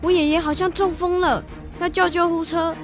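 An earnest little boy with a Taiwanese accent, devoted to his grandpa. The voice is extremely high and childlike, set over music in a radio play. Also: flat delivery, normal speed. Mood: startled, anxious. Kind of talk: radio drama acting.

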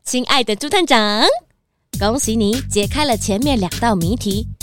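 A woman's voice: on the high side, normal speed, fairly bright tone, faint music behind, lightly acted. A woman voicing a sly, gloating red fox master thief in a children's detective audio drama, taunting in a theatrical, mock-sweet way.

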